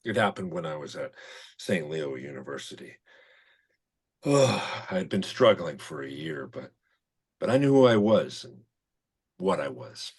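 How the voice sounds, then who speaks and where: Seeking a man to play an earnest, uneasy reality show housemate, husky and expressive, for an informal table read. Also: rumbling low, measured pace, long pauses, heavily acted. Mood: hesitant confession. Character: nervous, confessional male housemate revealing himself.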